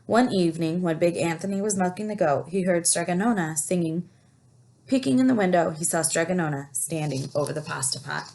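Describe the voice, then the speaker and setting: A woman's voice: lowish voice, vocal fry, darkish tone, slight background noise. A woman teacher reading a story aloud to children, explaining and asking questions as she goes. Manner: explanatory, pauses to ask questions. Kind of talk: picture book read-aloud with asides to young listeners.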